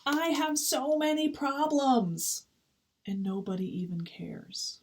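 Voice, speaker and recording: A woman's voice: lowish voice, normal speed, a little hiss or hum, lightly acted. A woman doing a whiny, self-pitying young penguin. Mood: woeful. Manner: whiny, complaining. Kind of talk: storybook read-aloud.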